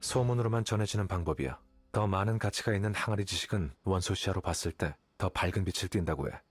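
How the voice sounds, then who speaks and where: An actor playing an aloof, calculating young scholar in a video game, speaking in a detached, measured, condescending way. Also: male voice, low-pitched, breathy edge. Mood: composed, instructive. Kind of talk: video game character dialogue. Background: game music.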